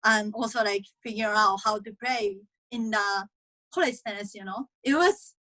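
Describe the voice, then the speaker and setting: A woman's voice: medium pitch, normal speed, pushed and bright, rough recording. A young woman from Japan with a Japanese accent, a college tennis player chatting in an interview, speaking non-native English full of "like" and "you know" and laughing often. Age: young adult. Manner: giggly, many fillers like "like" and "you know". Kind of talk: casual interview, video call.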